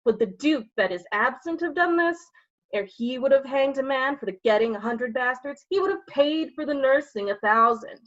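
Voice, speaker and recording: A woman's voice: sits high, lively intonation, moderate pace, a bit bright. Dull-sounding cheeky gossiping rogue, played by a woman. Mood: mocking, gossiping. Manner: cheeky, insinuating, theatrical. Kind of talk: staged reading of Shakespeare verse and prose.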